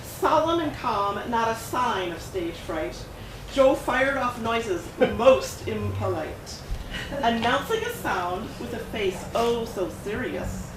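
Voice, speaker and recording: Woman author, animated high read-aloud voice; distant, reverberant gallery recording with background noise. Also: slow. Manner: expressive rhyming delivery with bouncy emphasis. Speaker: children's book author. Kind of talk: dramatic picture-book read-aloud. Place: art gallery event room. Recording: echoey room, distant, noisy.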